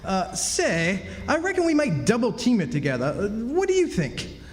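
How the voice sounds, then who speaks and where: A man's voice: sits high, wildly swooping pitch, normal speed. A man playing a florid, theatrical con-man drifter in an old-time radio drama, folksy and grandiose. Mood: folksy boasting. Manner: folksy, grandiose, hammy delivery. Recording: live stage in echoey hall.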